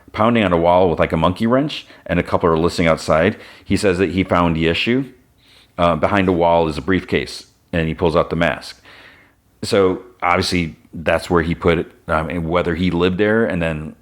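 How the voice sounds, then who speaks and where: Very low-voiced man recapping an episode. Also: steady tone, moderate pace. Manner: retells scenes, briefly voicing characters in quotes; loose, with restarts and "he's like" quotes. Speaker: podcast host.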